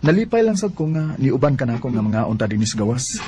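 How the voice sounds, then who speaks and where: A man's voice: mid-range voice, very animated, fast, dark tone, slight background noise, close to an everyday voice. Actor playing smitten Cebuano man in radio drama. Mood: earnest, wooing. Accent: Cebuano Filipino. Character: Edwin, smitten working man with a wandering heart. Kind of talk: Bisaya radio drama acting.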